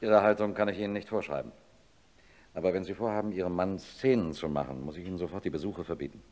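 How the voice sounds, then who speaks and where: An actor playing a sober, skeptical professor of neurosurgery in a radio play, with a dry, measured, blunt manner. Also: male voice, low voice, a little mellow, lightly acted. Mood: stern. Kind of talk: German radio play acting.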